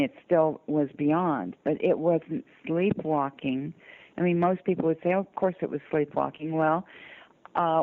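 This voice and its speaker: An older woman from the American South tells personal stories in an earnest, deliberate way. Her voice is low for a woman and comes through a narrow, dry telephone line. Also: no room sound. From southern United States (Georgia, North Carolina). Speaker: interview guest. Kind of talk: personal storytelling in conversation. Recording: muffled narrow phone-line sound.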